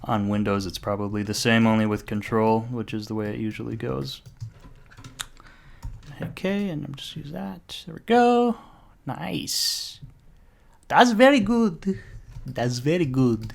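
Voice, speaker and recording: Male tutorial narrator, heavy vocal fry, offhand and jokey, wanders off topic, mid-range pitch. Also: animated, a little slow. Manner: rambling, jokey self-talk with mild swearing. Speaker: software tutorial maker. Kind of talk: casual screen-recorded tutorial.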